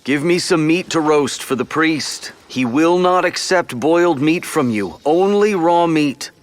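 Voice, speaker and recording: An actor playing a greedy, pushy priest's servant who makes insistent demands in a radio drama. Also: male voice, a little high, animated, a little slow. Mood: demanding.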